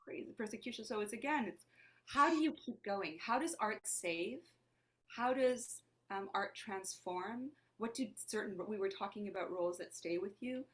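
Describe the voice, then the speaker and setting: A woman from Lebanon whose first language is Armenian talks as an interview guest. She speaks in a reflective, searching way, often voicing questions to herself, in a mid-pitched voice. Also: lively intonation, moderate pace, fairly bright tone. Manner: thoughtful, searching, asks herself questions aloud. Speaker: actor and playwright guest. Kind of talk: conversational interview.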